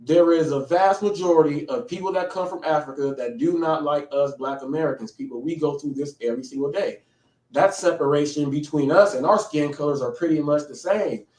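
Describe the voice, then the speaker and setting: A man's voice: a little high, fairly quick, darkish tone. A man in his late thirties hosting a live show, talking in a rambling way and often checking in with "okay?". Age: 30s. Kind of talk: casual live commentary. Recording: echoey room, a bit far from mic.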